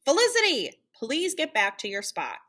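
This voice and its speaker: A woman's voice: sits high, huge pitch swings, moderate pace, loud music behind the voice. A woman voicing an exasperated storybook band teacher who dramatically scolds and coaxes young pupils. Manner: dramatic, put-upon, scolding and coaxing.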